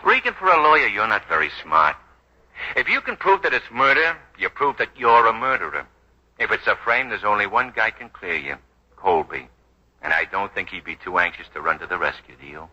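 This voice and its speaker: An actor playing a tough, shrewd police detective in a 1940s radio drama, dry, sarcastic and needling. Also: male voice, lowish voice, lively intonation, fairly quick, pushed and bright, heavily acted. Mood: needling, sardonic. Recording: dull vintage 1940s radio recording.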